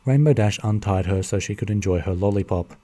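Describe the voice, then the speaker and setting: A man giving steady, scripted narration for a fan radio play. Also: lowish voice, fairly quick.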